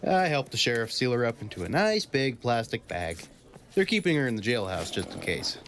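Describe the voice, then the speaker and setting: A man playing a cool, world-weary gunman in an audio drama, terse and deadpan. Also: medium pitch, a little fast, slight background noise. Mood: matter-of-fact.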